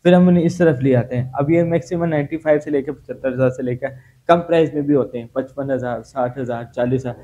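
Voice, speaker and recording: A man's voice: medium pitch, quick pace, warm tone, lo-fi sound. A man with a Pakistani Urdu accent, working as a salesman, politely explaining treadmill features in a showroom and calling the host sir. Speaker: shop salesman. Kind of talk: product explanation. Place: indoor gym equipment showroom.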